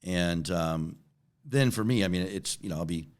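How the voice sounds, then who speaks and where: Deep-voiced man in his forties. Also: moderate pace. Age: 40s. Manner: repeats words, often checks in with "right?". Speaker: interview guest, company chief executive. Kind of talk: conversational interview answers.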